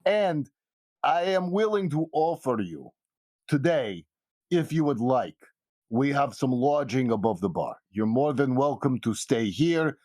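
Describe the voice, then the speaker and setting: Scheming fantasy bar owner, played by a man, theatrical producer type, halting gushy patter, dry recording. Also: mid-range voice, very animated, darkish tone, no echo. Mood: gushing, pleased.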